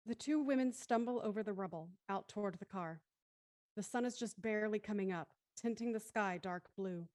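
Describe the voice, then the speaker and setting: A woman's voice: medium pitch, moderate pace, darkish tone, no room sound, studio quality, natural voice. A woman acting as the intent narrator of an audio thriller, reading dramatic scripted narration. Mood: intent storytelling.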